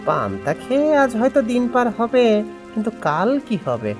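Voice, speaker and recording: A woman voicing a worried, weary village father in an animated cartoon story, with an expressive, fretful delivery. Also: on the high side, normal speed, over music.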